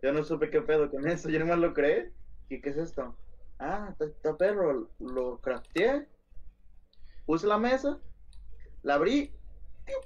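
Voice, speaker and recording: A man's voice: on the high side, expressive, measured pace, long pauses, a little mellow, lo-fi sound. A young man chatting with a friend over a gaming session, laid-back and slangy, laughing at his own mishaps. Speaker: co-player on call. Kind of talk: casual gaming chat.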